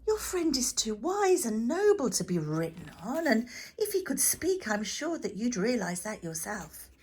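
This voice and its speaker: Woman voicing a soft, regal, gracious lake goddess. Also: a little high, very animated, husky, pitched above the performer's own voice, exaggerated voice. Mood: kindly, admonishing. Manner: poised, regal, measured.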